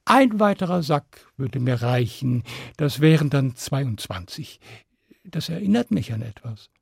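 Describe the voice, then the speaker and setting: A man's voice: mid-range voice, big pitch swings, a little slow, gravelly, breathy edge, dry recording, clean studio sound, heavily acted. A man voicing a crafty, bluffing peasant hero in a fairy tale, breezy, mock-modest and teasing. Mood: mock reluctant.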